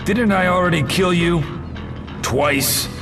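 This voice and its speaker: An actor playing a cocky, wisecracking macho action hero in a video game, with a wry, exasperated manner. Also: male voice, high-pitched, slow, echoey room, big-room sound, music in the background. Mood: impatient. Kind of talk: video game cutscene acting.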